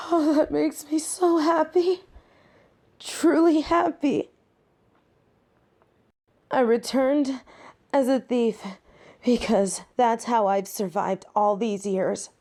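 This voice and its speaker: A woman's voice: medium pitch, mellow, natural voice. A woman playing a flirty, proud catgirl thief in an intimate audio roleplay, speaking dramatically and emotively to one listener. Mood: tearful joy.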